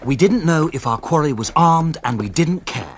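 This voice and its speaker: An actor playing a gentleman who narrates a detective tale in a radio drama, in a literary, dramatic manner. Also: fairly high pitch, expressive, moderate pace, background noise, lightly acted. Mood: dramatic, suspenseful. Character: gentleman narrator recounting his detective adventure. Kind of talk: scripted first-person radio drama narration.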